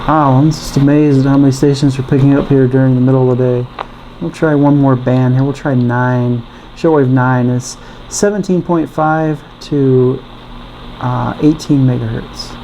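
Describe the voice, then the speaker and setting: A man casually demonstrating a product as a reviewer, thinking aloud in a halting way. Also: mid-range voice, steady tone, warm tone, slight background noise, poor audio. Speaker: radio reviewer.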